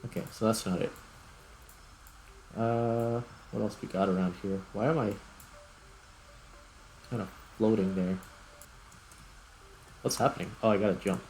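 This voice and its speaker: Male gaming host, low-pitched, chatty and thinking out loud in fragments, clearly noisy recording. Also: steady tone, measured pace, pauses often. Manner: thinks aloud in short fragments. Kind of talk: casual gameplay commentary. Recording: clear background noise.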